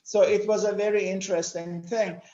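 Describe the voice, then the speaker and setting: An older man from Turkey, speaking natively, giving a measured lecture-style talk with many pauses. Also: sits high, fairly even intonation, dark tone, echoey room, muffled recording, slight background noise. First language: Turkish. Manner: measured, explanatory, with frequent "uh" pauses. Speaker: panel speaker. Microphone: video call.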